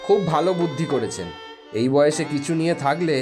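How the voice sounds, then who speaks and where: A man's voice: a little high, fast, lightly acted. A man playing a sensible village doctor in a cartoon, matter-of-fact with a dry, teasing manner. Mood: agreeable, reassuring. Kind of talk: animated cartoon dialogue. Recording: music behind the voice.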